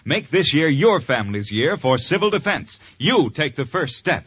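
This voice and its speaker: A man's voice: on the high side, big pitch swings, moderate pace, fairly bright tone, slightly rough, faint music behind. A man reading scripted public service advice in 1950s radio style. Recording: muffled vintage radio recording.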